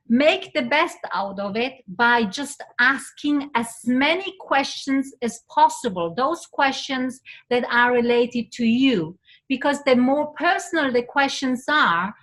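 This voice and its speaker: Slow bright-voiced woman hosting a class. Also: high-pitched, animated. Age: middle-aged. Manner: slow and coaxing, prompting the class to answer. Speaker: event host. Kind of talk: addressing a class of students.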